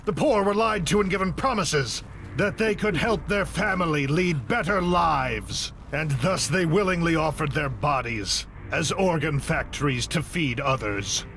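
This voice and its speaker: An actor playing a grieving, zealous AI researcher turned hostage-taker in a video game cutscene, delivering grandiose speeches. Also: male voice, a little high, lively intonation, measured pace, a bit bright, a little hiss or hum. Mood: cold, accusing. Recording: music behind the voice.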